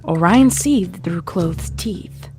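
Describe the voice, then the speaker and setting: A woman's voice: on the low side, expressive, slow, mellow. A woman reading a scripted story as its narrator, in a dramatic storytelling style. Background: faint music.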